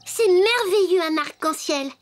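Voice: very high-pitched, unhurried, very noisy. An actor voicing a cheerful little wooden puppet boy in a French children's cartoon, eager and enthusiastic. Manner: bright-eyed, enthusiastic.

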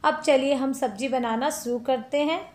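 A woman's voice: high-pitched, moderate pace, a little mellow. A woman hosting a cooking channel, chatting step by step through a recipe in a home kitchen and calling her viewers friends.

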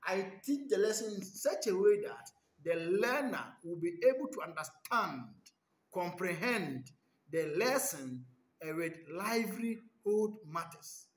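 A man's voice: sits high, expressive, measured pace. Earnest Ghanaian man in an echoey hall. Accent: Ghanaian English. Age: 30s. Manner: earnest, emphatic, listing repetitively. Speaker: teacher. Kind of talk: self-introduction video for job applications. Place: large indoor hall with stacked chairs. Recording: echoey room.

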